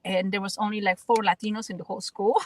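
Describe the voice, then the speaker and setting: A woman's voice: mid-range voice, level tone, quick pace, slight background noise. A woman from the Dominican Republic with a Dominican Spanish accent, Spanish as her first language, speaking earnestly and reflectively as a guest actress in a radio interview, often repeating words while she finds her phrasing. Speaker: guest actress and spoken word artist.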